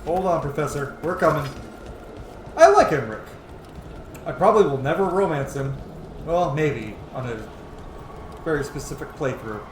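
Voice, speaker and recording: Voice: mid-range voice, sing-song, moderate pace, long pauses, a little hiss or hum. A gamer giving casual, enthusiastic commentary while playing, informal and sweary. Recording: roomy echo, game music behind. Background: game music.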